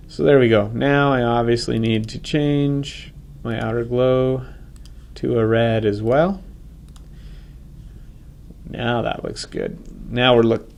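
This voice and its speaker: Stuffy-nosed man with a gravelly voice. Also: medium pitch, a little mellow. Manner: casual, thinks aloud, self-correcting. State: congested from a cold. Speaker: software instructor. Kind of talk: screen-recorded software tutorial.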